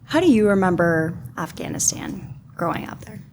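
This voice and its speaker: A woman interviewer asking short questions softly from off-mic. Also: fairly low pitch, sing-song, normal speed, slight background noise.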